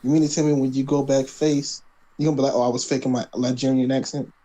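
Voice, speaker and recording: A man's voice: mid-range voice, flat delivery, fast talker, mellow. A man co-hosting a casual podcast, talking wrestling in a laid-back, skeptical, teasing way. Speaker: podcast co-host. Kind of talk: casual wrestling predictions chat.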